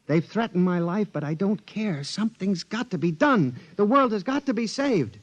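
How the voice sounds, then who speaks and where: A man's voice: high-pitched, lively intonation, moderate pace. An actor playing a frantic man who believes machines are alive, in 1950s radio drama. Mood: desperate. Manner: narrates then pleads in rising panic. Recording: dull old radio broadcast.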